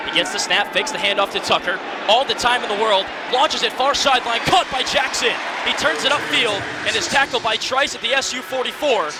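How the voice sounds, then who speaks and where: A man's voice: very high voice, fairly quick. A man in his early twenties calling college football live on radio in short, vivid, present-tense phrases. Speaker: play-by-play announcer. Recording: stadium crowd and loud background noise.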